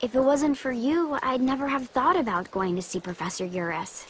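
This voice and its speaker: A woman's voice: high voice, normal speed, husky. A young woman voicing an earnest, emotional young woman in an English-dubbed video game drama. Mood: earnest, resolute. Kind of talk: dramatic English dub voice acting.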